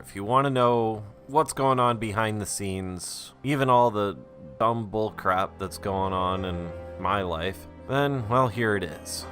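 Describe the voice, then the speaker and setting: Casting: male creator for an audio diary, low but bright, forceful voice, conversational and self-deprecating, music behind. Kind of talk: casual rambling audio log.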